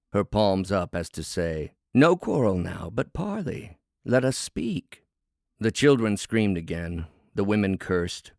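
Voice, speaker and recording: A man's voice: low-pitched, darkish tone, dry recording, studio quality. A man narrating a poetic fable as a storyteller, with theatrical, verse-like phrasing.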